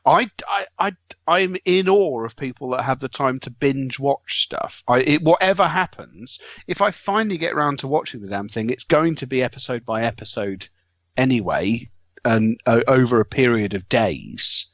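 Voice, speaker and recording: A man talks casually as a podcast panellist. He has a mid-pitched voice and a wordy, articulate way of phrasing. The recording is very dry and slightly muffled. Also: lively intonation, moderate pace, fairly bright tone, no echo. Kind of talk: casual discussion.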